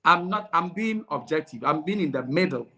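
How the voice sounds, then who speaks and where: A man hosts a relaxed interview, with a voice pitched a bit high for a man. He talks casually and reads viewer comments aloud, breaking into laughter now and then. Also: a little fast. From Ghana. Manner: chatty, laughs while reading comments. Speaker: interviewer and host.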